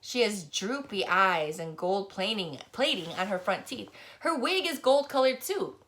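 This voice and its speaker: A woman reading a first-person novel aloud as scripted audiobook narration, with expressive delivery. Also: mid-range voice, measured pace. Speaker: audiobook reader.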